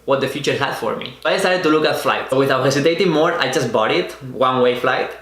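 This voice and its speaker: A man's voice: medium pitch, fairly bright tone, echoey room. Spanish-accented young man telling his story. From Spain. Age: 20s. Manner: earnest, unhurried storytelling with small restarts. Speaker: vlogger. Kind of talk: personal storytelling.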